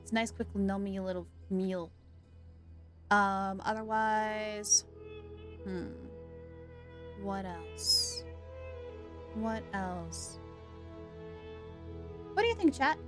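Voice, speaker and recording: A woman's voice: mid-range voice, animated, drawn-out words, pauses often, over music. A woman live streamer chatting casually and playfully with her viewers.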